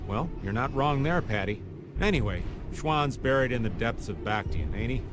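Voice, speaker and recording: A man's voice: medium pitch, expressive, normal speed, close to an everyday voice. An actor playing an easygoing, wry middle-aged man in a game, over background music. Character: laid-back joking middle-aged man.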